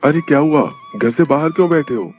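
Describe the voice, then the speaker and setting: A man playing a weary, caring young father from a poor family in a cartoon, with a plaintive, expressive manner. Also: a little high, fairly quick, soft background music, natural voice. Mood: concerned.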